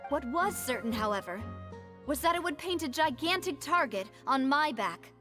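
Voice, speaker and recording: A high, youthful female game character, resolute and articulate. She argues her case like a young leader in a courtroom drama, in declarative speeches over clear background music. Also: expressive, normal speed, bright, forceful tone. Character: resolute young woman, syndicate leader arguing for her dead father.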